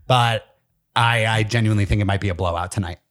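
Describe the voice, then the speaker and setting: A man's voice: lowish voice, fast, a bit bright. Man, podcast co-host, casual, teasing, laid-back. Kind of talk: casual chat.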